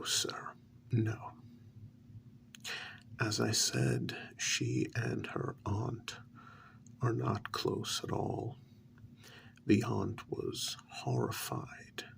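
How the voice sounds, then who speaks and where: A man playing a deferential agent reporting to his superior in a soft, half-whispered ASMR role-play, with a measured, halting delivery and a gravelly, breathy voice. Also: lowish voice, big pitch swings, exaggerated voice.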